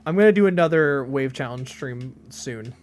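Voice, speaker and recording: A young man in his late teens streaming games and chatting casually, with a deadpan delivery and lots of rambling fillers. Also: medium pitch, moderate pace. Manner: deadpan, flat delivery even when joking; rambling, many fillers and restarts. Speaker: game streamer. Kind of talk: casual live-stream chat.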